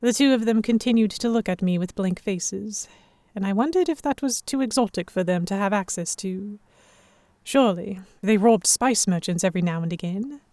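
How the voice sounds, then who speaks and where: A woman's voice: mid-range voice, normal speed, mellow, no room sound. A woman giving scripted first-person narration for a historical audio drama. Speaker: narrator and lead actor.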